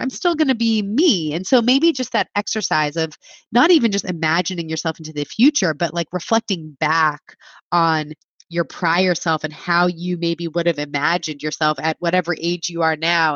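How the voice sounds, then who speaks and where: A woman's voice: lowish voice, a bit bright, dry recording, highs cut off. A woman in her thirties, a clinician guest, answering interview questions in a reflective, think-aloud way with frequent filler words. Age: 30s.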